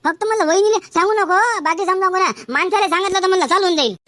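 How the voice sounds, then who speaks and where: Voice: very high voice, racing, pushed and bright, no echo, clean studio sound. A performer voicing a fretful, distrustful cartoon villager who keeps questioning, with a rural Varhadi Marathi accent. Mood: cautioning. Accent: rural Maharashtra, Varhadi Marathi. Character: anxious, cautious villager in a comic cartoon. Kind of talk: comic cartoon dialogue.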